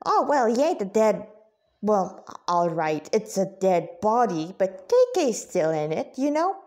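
A woman's voice: medium pitch, big pitch swings, unhurried, warm tone. A woman voicing a cheerful animal-eared companion creature with a chatty, explanatory manner in a story reading. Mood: joyful.

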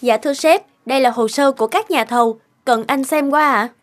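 A woman's voice: high-pitched, brisk, natural voice. A woman voicing a polite, hesitant young office secretary in a cartoon. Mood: deferential. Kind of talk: Vietnamese cartoon dubbing.

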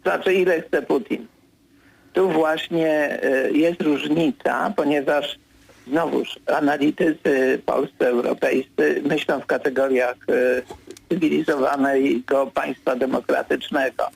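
An older man with a deeply gravelly, husky voice talks slowly and deliberately in a radio studio. He pauses often to gather his thoughts, with fillers between phrases. When he pushes back on a point, he becomes firm and insistent. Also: steady tone, no room sound, poor audio. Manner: measured, hesitant with many fillers, grows insistent when correcting. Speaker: political commentator.

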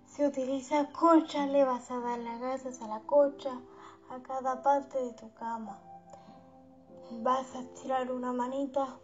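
Woman, guided sleep meditation, slow and soothing, affectionate, dull lo-fi recording, soft background music. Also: high-pitched, little pitch movement. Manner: soothing, unhurried, affectionate diminutives.

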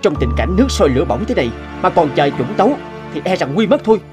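An earnest, animated young scholar-official. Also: male voice, high voice, fast talker, over music. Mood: urgent.

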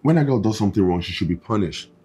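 A man plays a strict husband and father with a calm, firm delivery. His voice is very deep yet lively in pitch. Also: fast talker, slightly rough. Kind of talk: web drama acting.

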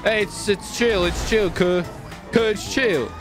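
A man's voice: high-pitched, unhurried, music in the background, a crowd in the background. A man doing casual, cocky and jokey roleplay chat while playing a video game. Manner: excitable, cocky, jokey, swearing. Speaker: streamer and roleplayer.

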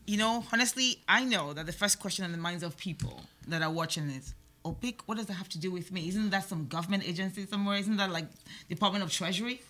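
A woman's voice: fairly low pitch, fast talker, pushed and bright. A woman in her thirties hosting a talk show from a small studio, chatty, acting out the questions listeners might ask. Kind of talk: interviewing guests. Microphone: studio mic on stand.

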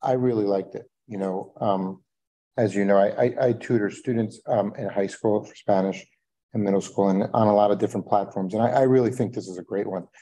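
Very dark-voiced man, hesitant. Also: fairly low pitch, steady tone, a little fast. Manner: hesitant, restarts and many fillers. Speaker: school board member. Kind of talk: questions at a board meeting.

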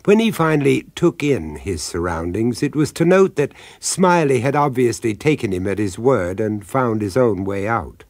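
An actor narrating a radio horror drama as a grave, ominous storyteller, with deliberate, suspenseful pauses. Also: male voice, medium pitch, very animated, a little mellow, no echo.

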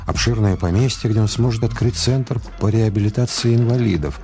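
A man narrating a scripted radio drama in a measured, literary storytelling manner. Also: lowish voice, dark tone, faint music behind.

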